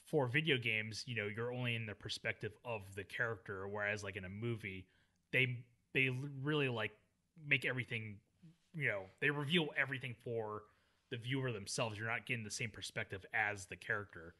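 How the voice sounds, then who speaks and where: A man co-hosting a casual film podcast, chatty and agreeable, often chiming in with quick agreement. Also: lowish voice, pushed and bright. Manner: chatty, agreeable, frequent "yeah". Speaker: podcast co-host.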